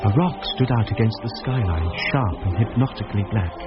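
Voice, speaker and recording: Man as a grave, low British narrator. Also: lively intonation, brisk, natural voice. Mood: eerie, intent. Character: grave, observant male narrator recalling an eerie mystery. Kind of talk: scripted first-person radio drama narration. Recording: muffled radio recording under music.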